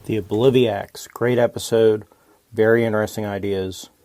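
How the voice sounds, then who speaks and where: A man leaving a casual, off-the-cuff voicemail, thinking aloud in a halting way. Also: fairly low pitch, fairly even intonation, dark tone, dry recording. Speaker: podcaster leaving a listener voicemail.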